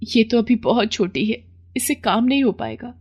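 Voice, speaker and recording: Woman as a poor housemaid mother, humble, gentle, deferential, sorrowful, storybook drama, slightly dull audio. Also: on the high side, big pitch swings, normal speed, husky, pitched above the performer's own voice. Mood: meek, sad.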